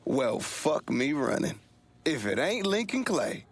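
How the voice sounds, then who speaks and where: Gravelly, husky Southern crook. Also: male voice, a little high, sing-song, slow, no echo, heavily acted. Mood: sly, feigned ease. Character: wary, wisecracking Southern criminal, grown man, uneasy. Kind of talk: video game voice acting.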